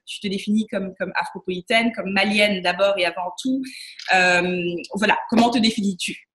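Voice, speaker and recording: Ivorian woman hosting a relaxed podcast chat. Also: mid-range voice, moderate pace, low-quality recording. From Côte d'Ivoire. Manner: conversational, hesitant restarts. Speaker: podcast host. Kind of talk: casual interview chat.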